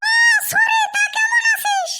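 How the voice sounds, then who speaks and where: A man voicing a haughty, scornful young college girl in a comic cartoon, with a Lower Assam rural accent and a squeaky pitched-up effect. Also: flat delivery, slow pace. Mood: curt. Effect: extremely pitched-up squeaky voice. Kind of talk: comic meme cartoon dialogue. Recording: loud background noise.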